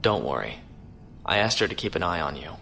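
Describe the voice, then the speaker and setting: Bright, composed starship captain played by a man. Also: extremely low pitch, very animated, a little fast, heavily acted. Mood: composed, instructing. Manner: crisp, authoritative, composed. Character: steady, courteous male starship captain leading marines. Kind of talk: science fiction audio drama acting. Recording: faint music behind.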